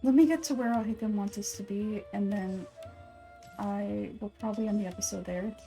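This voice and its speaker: A woman's voice: mid-range voice, a little fast, mellow, soft background music. A woman giving casual, off-the-cuff commentary while playing a video game. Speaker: gaming video creator.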